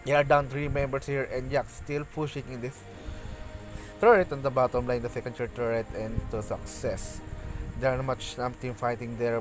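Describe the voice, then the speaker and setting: A man giving practice play-by-play commentary on an esports match, halting with frequent restarts and bursts of excitement on big plays. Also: medium pitch, low-quality recording. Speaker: esports caster.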